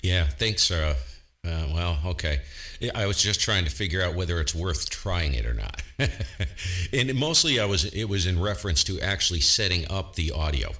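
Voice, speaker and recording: A man's voice: low-pitched, lively intonation, moderate pace, bright, forceful tone. A man hosting a casual ham radio chat, rambling and chatty. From Vermont, USA. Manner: rambling, chatty, filler-heavy.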